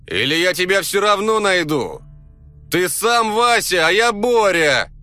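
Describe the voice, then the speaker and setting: A man acting a brash, angry night guard yelling coarse challenges in a comic audio story. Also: sits high, sing-song, unhurried, soft background music, voice pushed up, full character voice. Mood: angry shouting. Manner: coarse, belligerent, shouting.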